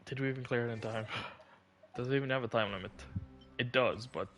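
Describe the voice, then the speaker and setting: A man's voice: mid-range voice, fast talker, fairly bright tone. A man giving casual live commentary as a game streamer. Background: game music behind the voice.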